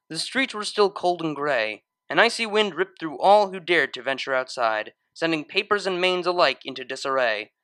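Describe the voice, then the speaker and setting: A narrator reading a scripted story aloud in an expressive storytelling manner. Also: medium pitch. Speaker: audiobook-style fan fiction reader.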